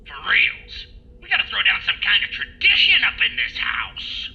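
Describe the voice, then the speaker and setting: A man playing a slangy, hip, excitable sidekick in a horror comedy audio drama. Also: sits high, normal speed, lo-fi sound, faint music behind, full character voice. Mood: hip, excited. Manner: slangy street talk. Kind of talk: horror comedy radio acting.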